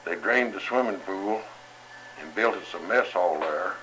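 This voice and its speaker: An elderly veteran tells his war memories in a plain, matter-of-fact manner. His voice is on the low side, with music clearly behind him. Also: measured pace, bright, forceful tone, slight background noise, rough recording. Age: 80s or older. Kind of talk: oral history reminiscence.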